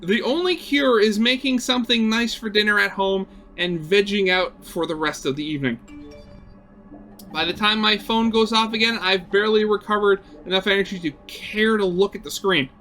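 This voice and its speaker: Bright, higher-pitched man narrating a visual novel. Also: moderate pace, faint music behind. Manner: dramatic reading with brief conversational asides. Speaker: video game let's player reading a visual novel aloud.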